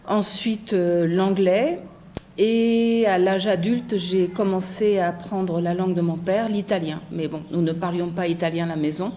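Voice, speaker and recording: A middle-aged woman from France, a translator, answering interview questions thoughtfully with many pauses and a habit of asking "non?". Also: lowish voice, fairly even intonation, dark tone, slight background noise. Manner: thoughtful, many fillers, frequent tag "non?".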